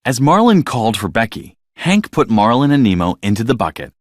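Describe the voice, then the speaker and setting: Animated male children's storybook narrator. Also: medium pitch, a little fast, dry recording, clean studio sound. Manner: expressive, dramatic storytelling.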